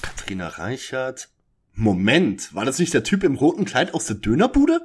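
A man's voice: mid-range voice, wildly swooping pitch, moderate pace, a little hiss or hum. A man playing a fussy, bookish young detective in a parody detective audio drama, with a pedantic manner. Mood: excited.

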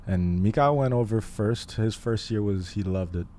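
Haitian-born guest in his 20s, deep mellow voice, measured and halting, close studio mic with faint room hiss. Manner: thoughtful, pauses often with fillers. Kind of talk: casual interview. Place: living room podcast set. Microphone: studio mic on boom arm, headphones.

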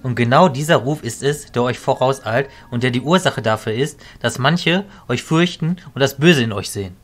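A man playing a calm, stern and wise mentor in an audio drama, speaking in a measured, firm way. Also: medium pitch, natural voice.

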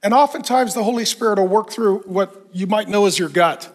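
A middle-aged man preaching a sermon on a church stage, animated and conversational with self-deprecating humor, somewhat hoarse and clearing his throat. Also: high-pitched, brisk. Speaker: pastor.

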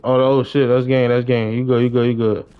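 A man's voice: medium pitch, fairly quick, smooth, narrow, tinny audio. Young man streaming, slangy and repetitive. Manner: slangy, repeats short phrases excitedly. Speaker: game streamer. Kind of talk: casual live gaming commentary.

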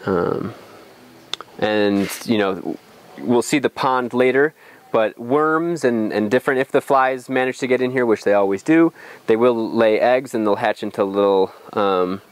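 A man's voice: mid-range voice, unhurried. A young adult man explains things in an informal outdoor tour, thinking aloud with some hesitation, on a lapel mic. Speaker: farm guide. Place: outdoors on a farm.

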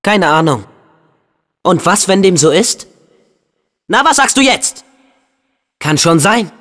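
Earnest teenage boy hero, animated. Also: high voice, slow pace, exaggerated voice. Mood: calm, curious. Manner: youthful, emphatic, expressive delivery. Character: earnest, hot-headed teenage boy hero with a loyal heart. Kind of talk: dubbed video game acting.

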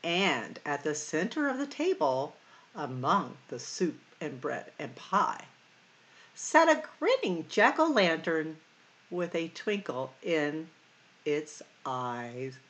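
A middle-aged woman hosting storytime, reading a picture book expressively to children with sing-song, drawn-out emphasis. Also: deep voice, big pitch swings, fairly bright tone. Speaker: library storytime host.

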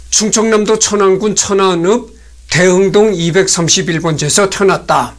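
Older Korean man with a high voice. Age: 60s. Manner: slow, halting, with many fillers and restarts. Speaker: host. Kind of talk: solo talk reading notes on a screen. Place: small indoor room at a desk.

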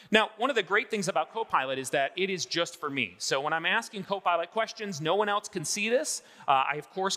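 A man's voice: sits high, pushed and bright. A man in his forties, an enthusiastic presenter giving a live product demo on a large conference stage.

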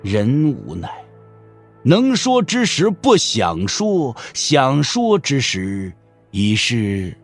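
A man narrating a martial-arts audio drama in a measured, expressive, scripted storytelling style. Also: mid-range voice. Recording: music under the voice. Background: background music.

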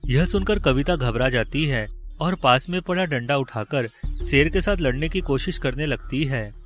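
A man's voice: medium pitch, animated, moderate pace, a bit bright, soft background music. A man narrating a scripted children's moral story.

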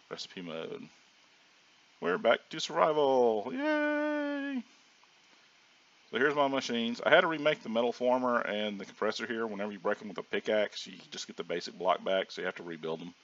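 A hoarse man casually narrating his own gameplay as a channel host, rambling with plenty of ums and restarts. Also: lowish voice, a bit bright. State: hoarse from sinus trouble. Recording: dull lo-fi audio.

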